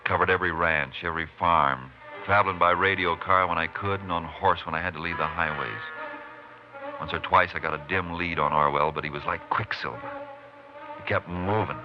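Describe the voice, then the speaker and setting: A man's voice: deep voice, a little fast, music in the background, natural voice. An actor playing a terse, determined Texas Ranger lawman in a 1950s radio western. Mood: grim, determined. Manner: terse, matter-of-fact. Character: steady, determined Texas Ranger tracking a killer. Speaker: lead actor and narrator. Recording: noisy muffled 1950s radio recording.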